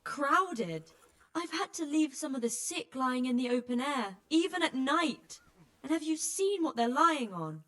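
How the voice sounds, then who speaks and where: An actress playing a weary, blunt healer who tends refugees, with dry exasperation, in a dramatic video game. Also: female voice, high voice, animated, measured pace, fairly bright tone. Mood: exasperated, upset. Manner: exasperated, dry, plain-spoken.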